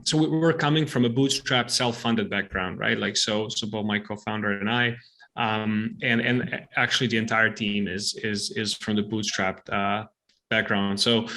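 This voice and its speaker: A man's voice: fairly low pitch, sharp tone. A middle-aged man from the Serbia region talks through his startup story as a podcast guest. His speech is loose and conversational, with frequent restarts, doubled words and fillers. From Serbia, Balkans region. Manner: repeats words often, many fillers. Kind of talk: casual podcast interview.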